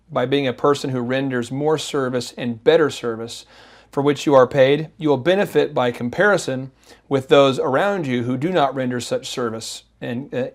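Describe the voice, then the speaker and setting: Enthusiastic male podcast host. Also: mid-range voice. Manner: appreciative, enthusiastic. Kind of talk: interview chat.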